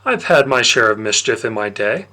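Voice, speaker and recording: A man voicing a plump, jovial god of wine and parties with menace underneath, in a theatrical, condescending storybook manner with drawn-out emphasis. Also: medium pitch, animated, sharp tone. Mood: amused, boastful.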